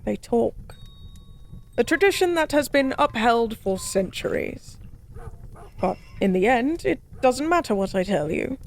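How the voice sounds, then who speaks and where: A woman voicing a lonely, drunk fallen goddess in a soft-spoken audio roleplay, musing and rambling with weary melancholy. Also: on the high side, animated, measured pace, slight background noise. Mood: wistful, confiding.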